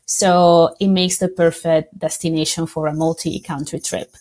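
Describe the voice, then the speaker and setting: A woman from Italy with an Italian accent, answering interview questions as a tourism representative in a measured, explanatory way. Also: sits low, flat delivery, a little mellow. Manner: explanatory, measured, with small slips.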